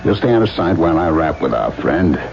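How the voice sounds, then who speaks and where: An actor playing a cocky, slangy, streetwise carnival schemer in a radio drama. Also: male voice, extremely low pitch, sing-song, a little fast, creaky, a little mellow, soft background music, heavily acted. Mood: confident, conspiratorial. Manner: wisecracking, streetwise. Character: tough, slangy, cocky male carny schemer. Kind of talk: 1970s radio drama acting. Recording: dull lo-fi old radio.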